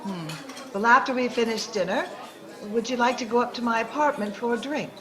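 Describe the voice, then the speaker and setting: Woman playing radio-play femme, flirtatious, wistful, fairly high voice, faint crowd behind. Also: a little fast, lightly acted, low-quality recording. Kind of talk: 1940s radio drama acting.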